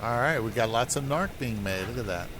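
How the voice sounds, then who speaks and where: Gravelly man musing while gaming. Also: mid-range voice, expressive, brisk, background noise. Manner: rambling, musing aloud while playing. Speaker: gaming streamer. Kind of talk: casual gameplay commentary.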